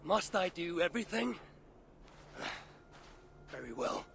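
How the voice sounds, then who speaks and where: An actor playing a haughty, menacing warrior god who commands and boasts in a video game cutscene. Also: male voice, high-pitched, big pitch swings, slow pace, vocal fry, a bit bright, a little hiss or hum, full character voice.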